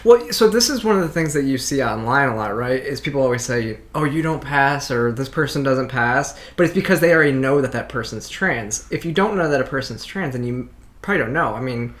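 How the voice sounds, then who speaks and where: Chatty woman with a fairly deep voice. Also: animated, a little fast. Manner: chatty, laughs often, tells anecdotes. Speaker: host. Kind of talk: casual reaction chat.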